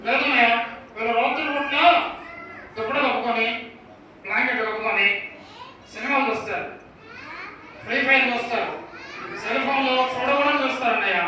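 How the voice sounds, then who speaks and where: A man's voice: extremely high pitch, a little fast, long pauses. A man voicing a mischievous, childlike ventriloquist puppet that calls itself a doctor, insistent and argumentative, in a live children's puppet show. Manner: insistent, argumentative, repeats words. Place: large church hall with children's audience. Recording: echoey hall, distant mic, noisy crowd.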